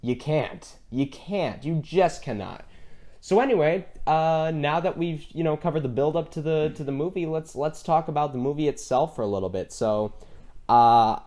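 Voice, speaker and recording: A man's voice: a little high, moderate pace, darkish tone. A man co-hosting an enthusiastic, casual discussion about films, opinionated and stressing his points with repetition. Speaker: podcast co-host.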